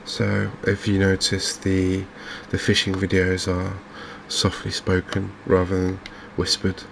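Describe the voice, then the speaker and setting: Softly spoken English male game host, flat and hushed delivery, gravelly fry, faint background noise. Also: sits low, poor audio. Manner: gentle, unhurried, hushed. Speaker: gaming video host. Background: fishing game ambience.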